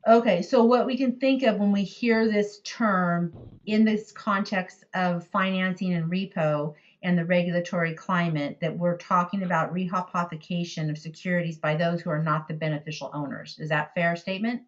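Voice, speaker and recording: A woman's voice: fairly low pitch, steady tone, moderate pace. A woman hosting a conference call, asking questions and checking her understanding. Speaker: host and interviewer. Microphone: telephone. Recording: dull phone-line sound.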